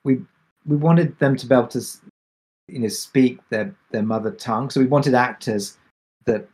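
A man answering questions on a discussion panel as a drama creator, speaking reflectively and haltingly with many pauses. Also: mid-range voice, husky. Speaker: audio drama creator and producer.

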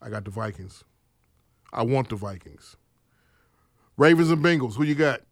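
A man's voice: medium pitch, lively intonation, moderate pace, no echo, studio quality. A man hosting a casual podcast chat, playfully asking his co-hosts for their picks and opinions. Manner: asks the others for picks, playful. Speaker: podcast host.

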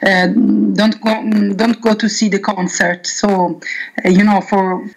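A young woman with an Italian accent, answering interview questions haltingly, with many pauses and restarts. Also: medium pitch, little pitch movement, creaky, low-quality recording. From Italy. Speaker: metal band singer.

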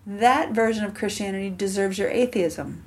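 A woman's voice: medium pitch, moderate pace. A woman hosting a podcast in a casual, conversational interview style, with a chatty, encouraging manner. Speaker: podcast host.

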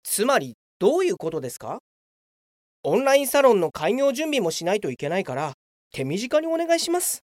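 A woman's voice: mid-range voice, big pitch swings, fairly quick, a little mellow, dry recording, studio quality, lightly acted. A woman playing a cocky, clueless young male office worker in a comedic radio drama, theatrical and self-satisfied. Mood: breezily confident.

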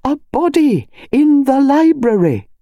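A woman voicing an excitable upper-class English country wife in an audiobook, urgent and insistent. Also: sits high, a little slow, no room sound, muffled recording, pitched above the performer's own voice, heavily acted. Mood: urgent insistence.

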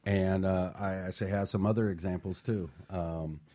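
A man's voice: sits low, steady tone, moderate pace, warm tone. A middle-aged man telling a personal story on a talk show, laid-back, with many fillers and restarts.

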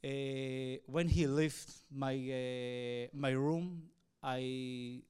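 A man from Israel, a native Arabic speaker, telling his personal testimony in hesitant, halting English full of fillers. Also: mid-range voice, fairly even intonation, darkish tone, smooth. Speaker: evangelist.

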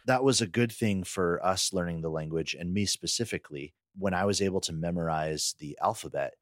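Dude with a low voice, casual podcast co-host, very dry recording. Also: male voice, brisk, no echo. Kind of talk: casual chat.

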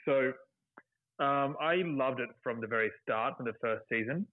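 A man's voice: medium pitch, normal speed, dry recording. Laid-back Australian guy, chatty, heard over a narrow phone-quality line. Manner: relaxed, chatty, laughs easily. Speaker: guest, television cooking contestant. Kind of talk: casual conversation.

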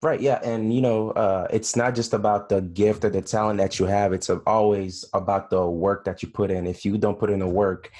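A young man in his late twenties with a fairly low voice hosts a casual talk. He sounds friendly and encouraging, calling his guest "brother" and affirming him often. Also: steady tone, normal speed, few pauses, darkish tone. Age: 20s. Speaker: host. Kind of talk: casual interview.

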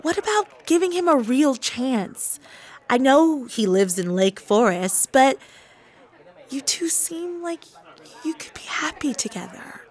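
A woman plays a concerned young friend in a radio drama, gently cautioning. Her high voice moves expressively up and down. She speaks slowly. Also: expressive, full character voice. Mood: pleading, gentle.

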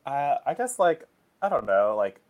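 A man's voice: medium pitch, big pitch swings, normal speed, a little mellow. A young man in his twenties with a Canadian accent, chatting as a podcast guest, full of "like" fillers and laughter. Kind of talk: casual chat.

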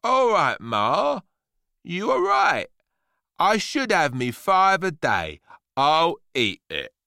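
Shrill, bratty young boy. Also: huge pitch swings, slow, gravelly, bright, forceful tone, no echo, studio quality, heavily acted. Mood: slyly agreeable. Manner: slangy, whiny, explosive outbursts. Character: bratty, greedy young boy, whining and shrieking with defiance.

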